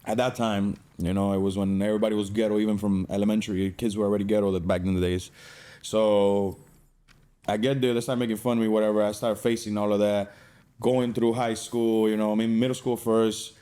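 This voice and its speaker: A man's voice: lowish voice, little pitch movement, a little fast, mellow, clean tone. A young man from Cuba telling his personal story as a podcast guest in a casual, streetwise way, with slang and swearing.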